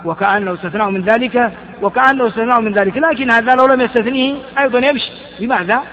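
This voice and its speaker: A man with a high voice teaches grammar, his words ringing with a long hall echo. He keeps firing quick questions at his listeners and answering them himself, with plenty of false starts. The recording sounds muffled and lo-fi. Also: a bit bright. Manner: halting, with repeated false starts. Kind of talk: classroom lesson explaining verse on grammar.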